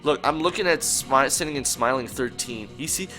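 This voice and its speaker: A man's voice: medium pitch, fairly quick, pushed and bright, faint music behind, poor audio. A young man co-hosting a casual chat, joking, swearing and slipping into mock-dramatic bits. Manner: profane, jokey, mock-dramatic outbursts. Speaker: podcast co-host.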